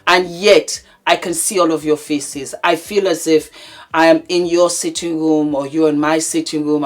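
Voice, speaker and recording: Low-voiced woman in her fifties vlogging. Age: 50s. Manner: warm, theatrical greetings with laughs. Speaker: vlogger host. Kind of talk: casual advice chat to camera. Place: home, indoors. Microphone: camera mic.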